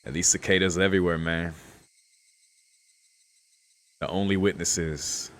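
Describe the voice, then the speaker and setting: A man's voice: deep voice, fairly even intonation, unhurried. A young man giving casual game commentary as a streamer, musing in a laid-back, slangy way and reading text aloud. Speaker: streamer playing a visual novel.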